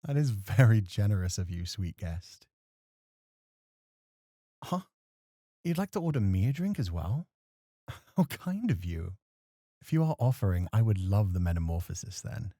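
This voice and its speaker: A man acting as a refined, scholarly club host in a flirty ASMR roleplay, speaking formally with playful, gentle chiding. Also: on the low side, sing-song, measured pace, long pauses, no echo, studio quality. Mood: gracious.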